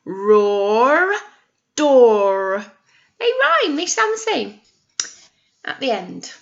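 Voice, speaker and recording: A woman's voice: fairly high pitch, big pitch swings, very slow, gravelly, highs cut off. A British woman teacher reading a picture book to young children, with sing-song emphasis and frequent encouraging questions. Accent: British English. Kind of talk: interactive read-aloud lesson for young children.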